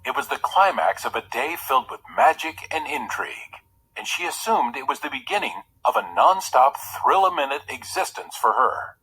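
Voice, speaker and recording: Male read-aloud narrator for children's fiction, lively intonation, faintly husky. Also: fairly high pitch, rough recording. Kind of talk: scripted storybook narration for children.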